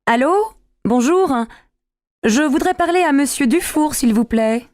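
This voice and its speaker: A woman acting out scripted dialogues for learners, speaking carefully and clearly. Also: high-pitched, expressive, a little slow, darkish tone, no room sound, studio quality.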